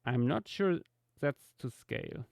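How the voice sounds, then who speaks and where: A man jokes casually over a voice call on a live stream. His voice is creaky and close-miked with no room sound. He delivers teasing lines in a dry, deadpan way. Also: mid-range voice, unhurried, dry recording. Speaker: stream guest.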